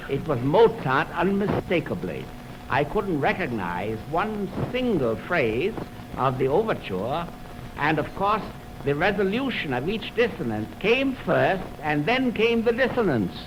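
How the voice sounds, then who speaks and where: A man giving a formal, anecdotal talk as host of a music program, in the style of 1920s radio. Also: a little high. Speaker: orchestra conductor hosting a radio music program. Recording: noisy 1929 radio aircheck from optical film.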